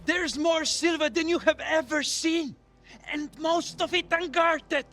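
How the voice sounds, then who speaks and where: A man's voice: very high voice, fairly even intonation, measured pace, pushed and bright, soft background music, full character voice. A man playing a proud Viking jarl in a video game, assertive and persuasive, with dramatic delivery. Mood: urging.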